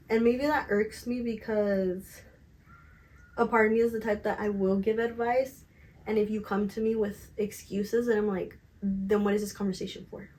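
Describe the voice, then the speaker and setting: A young woman with a slightly raspy, medium-pitched voice talks as a vlogger. Her delivery is casual and confessional, candid and slangy, with emphatic stress on her points. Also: dark tone, poor audio. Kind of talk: casual confessional chat to camera.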